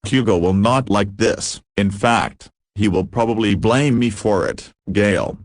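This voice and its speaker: A man's voice: on the low side, a little slow, dry recording, studio quality, lightly acted. A man voicing a domineering, smug male office boss in a visual novel. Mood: exasperated. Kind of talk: visual novel character acting.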